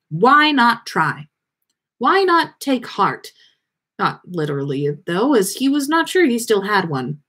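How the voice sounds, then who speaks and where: A woman's voice: on the high side, a bit bright. A woman reading a story aloud expressively, with theatrical emphasis and the occasional stumble. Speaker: podcast host reading listener fan fiction aloud.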